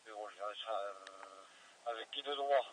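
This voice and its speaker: A man's voice: fairly low pitch, normal speed. A man in informal, rambling radio chat, with a hesitant delivery. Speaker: amateur radio operator. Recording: noisy two-way radio recording.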